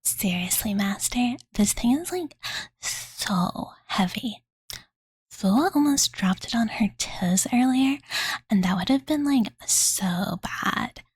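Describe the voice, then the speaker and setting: A woman's voice: on the high side, lively intonation, slow, breathy edge, no echo, exaggerated voice. A cozy young dragon girl, played by a woman, whispers very breathily, right up close in a dry space. She takes her time in an ASMR bedtime roleplay, sounding cutesy, ditzy and doting. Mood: playful, cozy. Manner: ditzy valley-girl filler talk, cutesy third-person self-reference. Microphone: close Blue Yeti condenser mic.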